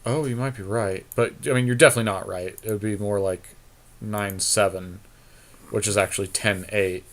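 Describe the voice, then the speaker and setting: Low-voiced man thinking aloud. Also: steady tone, moderate pace, fairly bright tone. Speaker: podcast co-host. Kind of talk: casual chat while building a card game deck.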